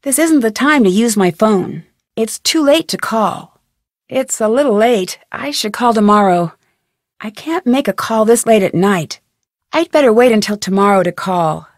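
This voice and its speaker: A woman with an American accent playing a plucky, curious teenage girl detective in a video game, thinking aloud in a clear, chipper way. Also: on the high side, lively intonation, moderate pace, dry recording, clean studio sound, natural voice. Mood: matter-of-fact. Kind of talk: scripted video game voice lines.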